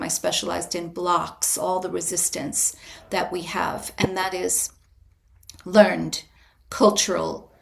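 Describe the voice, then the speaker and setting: A woman guest on a podcast interview, a writer and retreat leader, explaining her approach in a calm, invitational, measured way with frequent restarts. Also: on the low side, vocal fry. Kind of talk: reflective interview answer.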